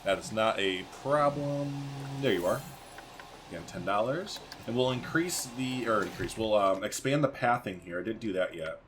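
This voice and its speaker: A man's voice: on the low side, animated, moderate pace. A man hosting a let's-play video, chatting informally and thinking aloud as he plays. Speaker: gaming video host. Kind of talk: casual let's-play commentary. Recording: faint background noise and game ambience.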